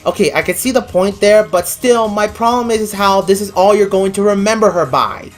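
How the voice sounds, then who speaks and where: A woman's voice: mid-range voice, a little fast. A woman delivering a scripted video review in a blunt, exasperated, opinionated manner. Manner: blunt, exasperated, freely swearing. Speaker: online anime reviewer. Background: music.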